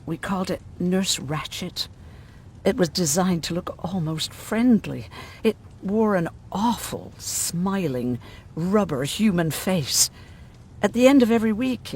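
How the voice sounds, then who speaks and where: An actress playing a gruff, sardonic, world-weary woman medic who survived a prison camp, in a dramatic video game style. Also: lowish voice, lively intonation, measured pace, a little mellow, slight background noise. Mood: grim recounting.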